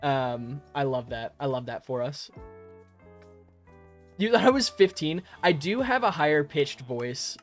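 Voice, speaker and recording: A man's voice: fairly high pitch, very animated, a little slow, faint music behind. A young adult man live streaming and chatting casually with viewers while he plays, friendly and rambling, with frequent laughs and restarts on a modest home microphone. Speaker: live streamer answering chat.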